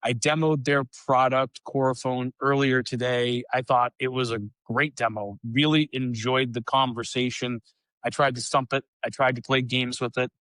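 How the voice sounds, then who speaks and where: A man's voice: mid-range voice, fairly even intonation, normal speed, a bit bright, no room sound, low-quality recording. A man hosting an interview podcast, upbeat and chatty, praising his guests. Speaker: podcast host.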